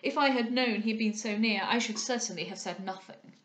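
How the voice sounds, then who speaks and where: A woman's voice: a little high, a little fast, fairly bright tone, reverberant, lightly acted. A woman voicing a self-satisfied, foppish male captain with a pompous, affected manner in an audiobook. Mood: smug, affected. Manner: pompous, affected, peppered with French words. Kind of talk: dramatised audiobook character voice.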